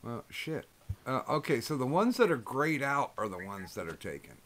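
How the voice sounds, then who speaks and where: Casual host: loud-voiced man, swears a lot, rambling. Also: mid-range voice, big pitch swings, normal speed. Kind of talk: casual chat.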